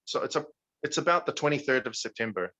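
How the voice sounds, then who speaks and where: Male guest speaker, mid-range voice, New Zealand accent softened by North American, informal question-and-answer delivery. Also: medium pitch, lively intonation, quick pace. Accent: New Zealand with North American touches. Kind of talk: casual informal question-and-answer on a video call.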